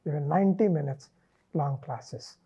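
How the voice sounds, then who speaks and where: Middle-aged Indian-accented man, native Marathi speaker, husky and measured, a bit lo-fi. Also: a little high, dark tone. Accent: Indian English. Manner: measured, thoughtful, frequent "uh" pauses. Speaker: interviewee, linguist. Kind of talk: relaxed reflective interview.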